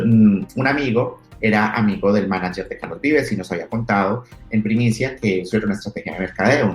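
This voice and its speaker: A Colombian man in his thirties speaks in a low, easygoing voice, telling his story anecdotally as an interview guest. The room is echoey, and faint music plays underneath. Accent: Colombian Spanish. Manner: relaxed, anecdotal, frequent restarts. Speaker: entrepreneur guest.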